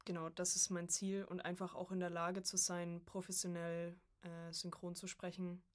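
Low, even-toned young woman. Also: normal speed. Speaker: voice acting student, interview guest. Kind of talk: casual podcast conversation.

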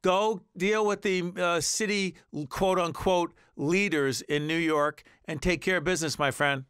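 A man hosting a radio talk show in a casual, energetic, jokey way, congested from a cold. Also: high-pitched, a little slow, clear, resonant tone, no echo, studio quality. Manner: energetic, jokey, talks over co-host. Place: radio studio. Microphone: studio microphone.

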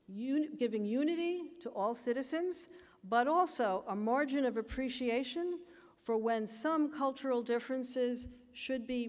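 A woman's voice: fairly high pitch, darkish tone. American woman lecturing in an echoing hall. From United States. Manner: measured, emphatic lecturing delivery. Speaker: commencement speaker. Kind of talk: formal graduation speech. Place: large auditorium stage at a lectern. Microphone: lectern microphone.

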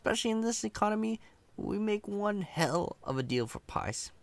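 A man's voice: sits high, sing-song, moderate pace, vocal fry, no room sound, heavily acted. A man playing a chatty, cocky, friendly company employee in an ASMR roleplay, casual, reassuring and playfully boastful. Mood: easygoing, friendly.

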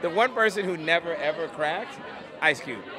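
A man's voice: on the high side, animated, normal speed. Upbeat man on a noisy red carpet. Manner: upbeat, enthusiastic. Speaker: film producer. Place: outdoor red carpet premiere, noisy crowd. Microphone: handheld interview mic held close. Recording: loud crowd chatter behind.

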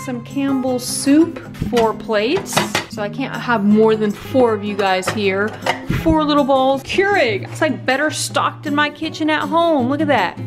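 A woman's voice: sits high, measured pace, over music. A woman in her thirties vlogging casually, filming herself at arm's length with a chatty, playful manner. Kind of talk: casual vlog, room tour. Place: hotel suite, then outdoor event grounds. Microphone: handheld camera at arm's length.

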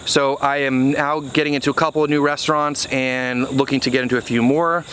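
A man's voice: mid-range voice, steady tone, fairly quick, a bit bright, slight background noise. Thirties male farmer vlogger, casual to-camera talk, plenty of "you know", faint field ambience. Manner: earnest, conversational, many "you know" fillers. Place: outdoors on a small farm field. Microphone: camera mic at arm's length, then on a tripod.

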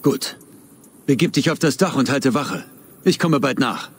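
Husky, grave warrior. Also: male voice, medium pitch, expressive, a little slow. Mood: calm, resolute. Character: Bayek, grave, resolute male warrior protector. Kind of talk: video game voice acting.

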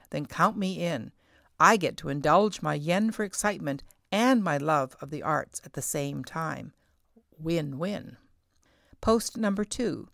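Low-voiced middle-aged woman hosting a podcast. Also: vocal fry, no echo, studio quality. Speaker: podcast host. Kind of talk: scripted podcast narration.

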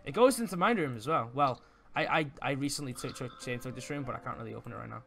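A man in improvised gaming roleplay chat, speaking haltingly and repeating words as he goes. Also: medium pitch, expressive, creaky, a bit bright. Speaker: roleplay player. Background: music.

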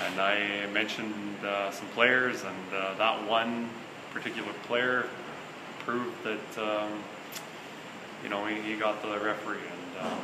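Low-voiced coach answering reporters. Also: male voice. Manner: measured, hesitant, many fillers and restarts. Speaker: hockey head coach. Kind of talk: postgame press conference. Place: small interview room before a sponsor backdrop. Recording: echoey room with loud air-conditioning hum.

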